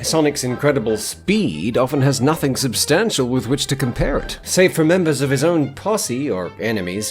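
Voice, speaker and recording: A man narrating a scripted video essay, with theatrical, sardonic emphasis. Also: mid-range voice.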